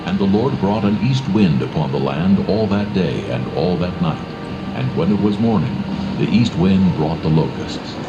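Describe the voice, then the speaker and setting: A man's voice: low voice, muffled recording, very noisy. A man giving a solemn, dramatised scripture narration. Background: prominent background music.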